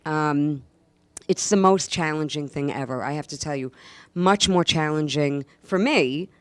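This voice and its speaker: Low-voiced New woman, emphatic. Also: measured pace, smooth, no room sound. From New York, USA. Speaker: guest, actress and stand-up comedian. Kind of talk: casual interview chat.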